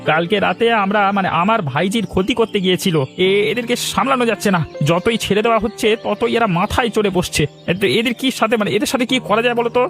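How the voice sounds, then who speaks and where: A man's voice: sits high, quick pace, hardly any pauses, a bit bright, faint music behind. A man voicing an indignant, protective village uncle in a cartoon, scolding and threatening wrongdoers with sarcasm. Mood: accusing. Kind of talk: Bengali cartoon voice acting.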